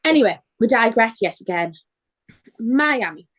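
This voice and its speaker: A woman co-hosts a laid-back chat. Her voice sits high and swings a lot in pitch, and she keeps things bubbly and laughs easily. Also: lively intonation, a little slow. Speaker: podcast co-host. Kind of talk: casual chat.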